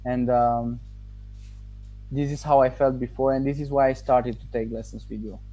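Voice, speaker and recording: Mellow-voiced man, halting and thoughtful. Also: mid-range voice, little pitch movement, highs cut off, faint music behind. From Romania. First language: Romanian. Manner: thoughtful, halting, with restarts and pauses. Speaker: student giving a testimonial. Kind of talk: casual interview answers.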